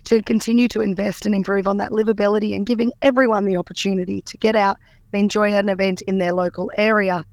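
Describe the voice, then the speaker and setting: An Australian woman who runs a tourism organisation, answering radio interview questions in an upbeat, promotional way with a croaky voice. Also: medium pitch, dry recording. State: croaky voice after a weekend event.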